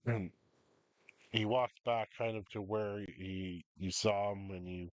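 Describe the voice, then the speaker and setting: A stuffy-nosed man with a cough runs a tabletop game at a slow, unhurried pace. His voice sits low, and he rambles through recaps with plenty of ums. The sound is dry and close. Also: fairly even intonation, no echo, muffled recording. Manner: rambling, many ums and uhs. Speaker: game master.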